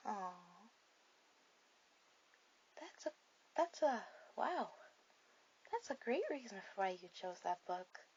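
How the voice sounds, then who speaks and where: A woman voicing a shy, sweet, bookish girl on a first date in an ASMR roleplay, speaking softly and hesitantly to the listener. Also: medium pitch, very animated, muffled recording, slight background noise. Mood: touched, eager. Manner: soft, hesitant, halting delivery.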